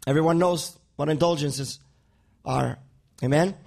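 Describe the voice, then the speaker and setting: A man's voice: a little high, a little slow, creaky, darkish tone. A male pastor, a native Spanish speaker with a Spanish accent, preaching a sermon and often repeating words as he builds a point.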